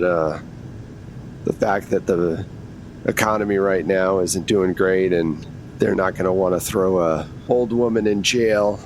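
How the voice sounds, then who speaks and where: A man voicing a chatty shoplifter woman in her late sixties in a tabletop game, rambling along matter-of-factly. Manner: casual, rambling, matter-of-fact. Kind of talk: improvised tabletop roleplay. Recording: rumbly background noise.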